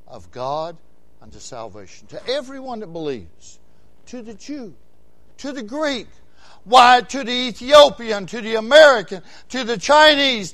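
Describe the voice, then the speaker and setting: Sixties male Baptist preacher, high-pitched, rhythmic repetition, loud emphatic peaks. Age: 60s. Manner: preaching with big swings between quiet asides and loud shouted emphasis; repeats phrases in rhythmic runs. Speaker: Baptist pastor. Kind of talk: sermon.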